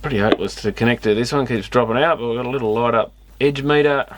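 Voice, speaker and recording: A man's voice: medium pitch, quick pace. A man giving casual, off-the-cuff commentary as he looks over gear, muttering and mildly grumbling to himself. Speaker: electronics repair vlogger. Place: electronics repair workshop. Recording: clicky, clearly noisy external camera mic.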